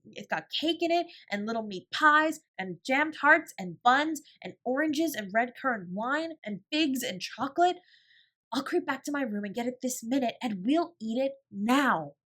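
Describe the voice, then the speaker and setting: Woman as a gushing, high-voiced excitable schoolgirl. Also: measured pace, heavily acted. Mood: gushing, eager. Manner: gushing, tumbling, emphatic. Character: eager, generous, excitable schoolgirl.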